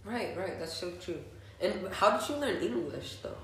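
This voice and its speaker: A high-pitched man hosts a relaxed chat, jumping in with eager, quick affirmations. His voice rings through a very echoey room. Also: gravelly. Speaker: host. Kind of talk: casual interview.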